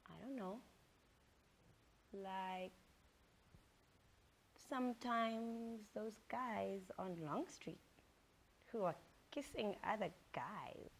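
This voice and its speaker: A woman's voice: medium pitch, very slow, long pauses, a little hiss or hum. A woman voicing an innocent young boy in a story reading, childlike, hesitant and earnest. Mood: sheepish.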